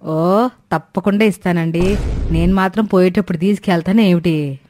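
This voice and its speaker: Woman playing a scheming elderly mother-in-law, low-voiced. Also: moderate pace, mellow, a little hiss or hum, natural voice. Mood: sly, sweet-talking. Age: older. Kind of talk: Telugu moral cartoon story voice acting.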